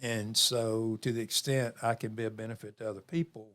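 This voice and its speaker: A man in his seventies talking reflectively as an interview guest, measured, with pauses and phrases repeated for emphasis. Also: fairly low pitch, flat delivery, a bit bright. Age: 70s. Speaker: interview guest, community program leader.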